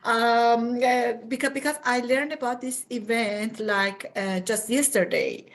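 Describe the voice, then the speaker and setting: Woman attendee, higher pitch, hesitant and apologetic. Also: unhurried. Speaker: meeting attendee.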